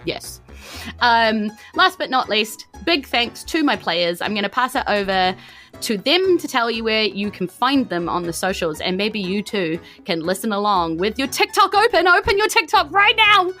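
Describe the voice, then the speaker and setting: A woman's voice: a little high, sing-song, normal speed, bright, forceful tone, soft background music. A woman with a New Zealand accent hosting a podcast in a casual, chatty way, effusive and prone to giggling. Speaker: podcast host and game master.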